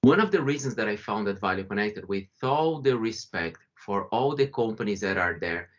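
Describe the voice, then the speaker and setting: A man's voice: on the low side, animated, moderate pace. A man from Brazil with a Brazilian accent, giving a conversational webinar presentation over a video call, often restarting and correcting himself. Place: remote call from home or office. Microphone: video call microphone. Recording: muffled video call audio.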